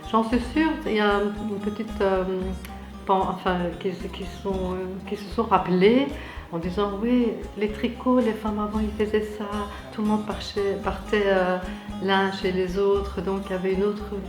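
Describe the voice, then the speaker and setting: A woman's voice: medium pitch, fairly even intonation, unhurried. A woman, speaking as a group member in a documentary interview. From Belgium. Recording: roomy echo, faint music underneath.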